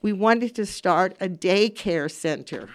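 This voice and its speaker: An older woman in her seventies speaks as a radio host in a studio, with an average pitch for a woman. Her delivery is conversational and opinionated. She drives points home emphatically, often saying a phrase again for stress. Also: slow. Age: 70s. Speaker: radio talk show host. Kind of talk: casual opinionated radio monologue.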